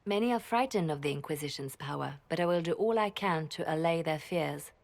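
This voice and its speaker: An actress playing a composed, reassuring religious leader and former spymaster in a fantasy game, calm and deliberate. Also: female voice, sits low, vocal fry.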